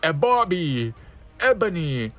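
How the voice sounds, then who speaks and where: A narrator voicing an evil male dark lord, raspy, with slow menace and archaic speech. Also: mid-range voice, sing-song, faint music behind. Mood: menacing.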